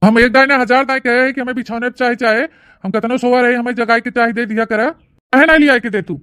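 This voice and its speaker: Female actor for a demanding, short-fused young brother-in-law, cartoon comedy, raised pitch, Awadhi accent, scolding delivery. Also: fairly even intonation, a little fast, fairly bright tone, no echo, clean studio sound, lightly acted. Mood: irritated, scolding. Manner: scolding, grumbling, imperious.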